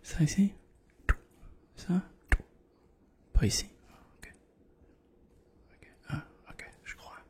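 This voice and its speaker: A man doing a hushed ASMR doctor roleplay, guiding the listener patiently and reassuringly. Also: extremely slow, long pauses. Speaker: ASMR creator playing a doctor. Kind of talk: whispered ASMR medical roleplay.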